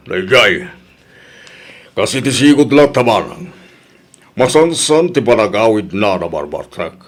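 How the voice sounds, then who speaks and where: A man acting in an Ilocano audio drama as a stern, middle-aged father who scolds and lectures his daughter emphatically. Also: mid-range voice. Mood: worried, complaining.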